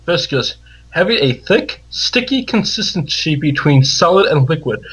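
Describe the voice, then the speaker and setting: Husky, animated man chatting. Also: fairly high pitch, moderate pace, low-quality recording. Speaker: livestream co-commentator. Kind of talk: casual chat.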